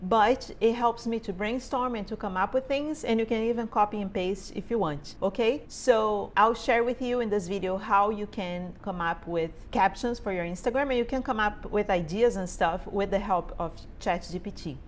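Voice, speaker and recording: A woman's voice: mid-range voice, normal speed, few pauses, slight background noise. A woman in her forties from Brazil with a Brazilian Portuguese accent, speaking English as a second language, chatting informally to camera from her sewing studio and often checking in with "right?". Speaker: sewing teacher and small business owner. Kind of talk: casual tutorial talk to camera. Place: home sewing studio, indoors.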